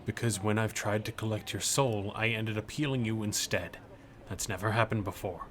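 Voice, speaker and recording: A man's voice: fairly low pitch, steady tone, moderate pace, fairly bright tone, natural voice. A man playing an aloof, detached Grim Reaper in an audio drama, speaking in terse, matter-of-fact lines. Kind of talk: scripted audio drama acting.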